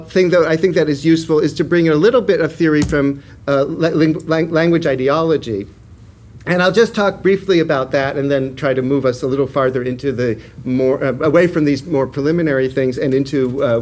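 A man giving an academic lecture, halting often with fillers and restarts, his pitch rising and falling with emphasis. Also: darkish tone, a little hiss or hum.

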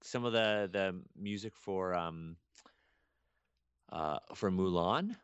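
A young man from Canada, a guest chatting casually on a podcast, rambling and animated with many restarts. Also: low-pitched, takes it slowly, clear, resonant tone, no room sound, highs cut off. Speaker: guest archaeologist.

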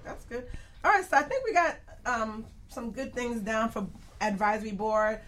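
A woman's voice: fairly high pitch, normal speed, a bit bright. A woman hosting a casual radio panel discussion in a chatty, informal manner. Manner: chatty, informal, often laughing. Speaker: radio show host. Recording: clear background noise, room ambience.

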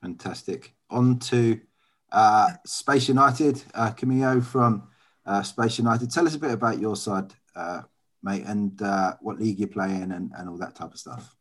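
A man's voice: fairly low pitch, unhurried. A man hosting a casual panel chat, asking friendly questions with stumbling starts. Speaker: host.

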